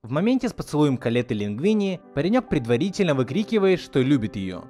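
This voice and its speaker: Animated man narrating a video essay. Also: fairly high pitch, fast, faint music behind. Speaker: video host.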